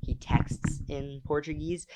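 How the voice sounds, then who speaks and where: A young man co-hosting a podcast in casual chat, talking in quick bursts and acting out quoted voices. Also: fairly high pitch, fairly even intonation, a crowd in the background. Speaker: podcast co-host.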